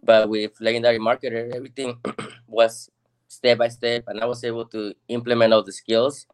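A man's voice: medium pitch, little pitch movement, normal speed, smooth. A man from Peru as a guest on a video-call interview, earnest and modest, with many casual fillers.

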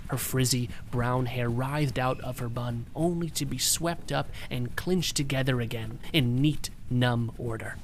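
A man's voice: mid-range voice, a little hiss or hum. A man narrating a piece of short fiction as a scripted reading. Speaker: story reader.

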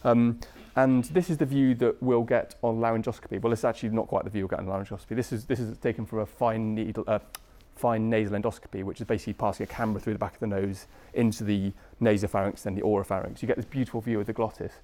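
A British man lectures at a brisk pace in a soft, mellow voice. He teaches informally, chatting through his points with colloquial phrasing and frequent tag questions. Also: fairly low pitch, fairly even intonation. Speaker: medical lecturer, anaesthesia registrar.

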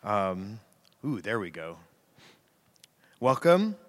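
A man giving a casual, conversational sermon as a preacher, chatty and self-deprecating, with a slightly raspy voice. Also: fairly low pitch, sing-song, extremely slow, fairly bright tone. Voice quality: slightly raspy from screaming into a mic. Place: church sanctuary. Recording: long hall reverb.

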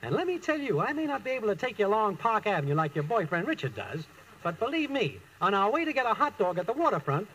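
An actor playing a genially pompous, broke small-time schemer in an old radio sitcom, with mock-formal patter. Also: male voice, high voice, huge pitch swings, fast talker, a bit bright, exaggerated voice, low-quality recording. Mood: jaunty. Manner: smooth-talking, mock-formal. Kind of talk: 1940s-50s radio sitcom acting. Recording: old radio recording with faint noise.

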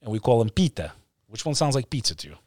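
A man's voice: medium pitch, very animated, fast, darkish tone, no echo, clean studio sound. A man with an Albanian-tinged American accent hosting a casual, chatty interview, joking and using street slang. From born in Texas, United States, to an Albanian family. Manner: jokey, uses street slang. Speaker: podcast host.

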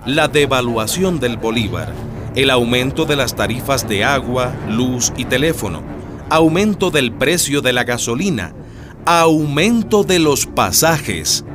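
A man narrating a political radio drama from a script, stressing key phrases deliberately. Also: medium pitch, lively intonation, over music, lightly acted. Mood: storytelling.

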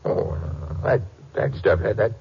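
An actor playing a jealous, cornered husband with an evasive, stammering manner in a 1950s radio drama. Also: male voice, sits low, animated, moderate pace, gravelly. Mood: nervous, evasive. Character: jealous, cornered husband turned ranting killer. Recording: crackly lo-fi old radio recording.

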